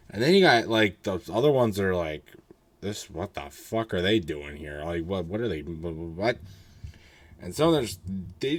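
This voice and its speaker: A young man with a deep, low voice that is hoarse. He talks in a loose, rambling way, full of ums and restarts, and gives blunt, candid opinions in a casual solo review. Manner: rambling, filler-heavy, candid. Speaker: roller coaster reviewer.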